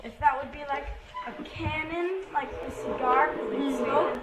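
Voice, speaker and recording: High-voiced girl pupil, distant and echoey. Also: unhurried. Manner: hesitant, thinking aloud, frequent "like". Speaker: pupil answering in class. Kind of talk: tentative classroom answer. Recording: distant echoey classroom mic, noisy background.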